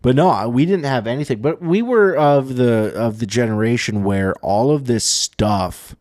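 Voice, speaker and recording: A man in his thirties, co-hosting a podcast, chatting casually and reminiscing in an animated way. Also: mid-range voice, moderate pace, no echo, studio quality. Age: 30s. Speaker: podcast co-host. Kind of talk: casual chat.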